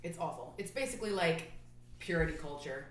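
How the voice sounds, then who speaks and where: A woman in a casual chat with friends, blunt and quick to laugh. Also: deep voice, lively intonation, roomy sound, a little hiss or hum.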